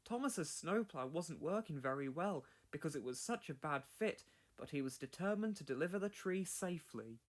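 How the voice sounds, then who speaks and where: A man narrates a children's storybook in a notably high voice. His reading is scripted and very animated, with plenty of rise and fall. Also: moderate pace, few pauses.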